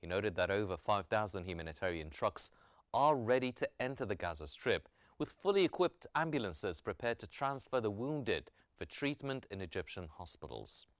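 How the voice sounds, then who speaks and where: Deep-voiced man reading the news. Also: very animated, no echo, studio quality. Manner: formal, measured newsreader delivery. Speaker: news anchor. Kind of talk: scripted news bulletin reading.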